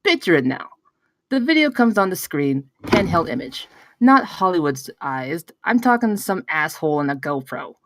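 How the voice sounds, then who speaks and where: A brash pitchman acted by a woman at a table read talks in a fairly low, very animated voice. The delivery is emphatic, profane and conversational, like someone selling a story, and the sound is close and dry. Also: moderate pace, no echo. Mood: excited storytelling. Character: brash, excitable male pitchman spinning a campfire tale.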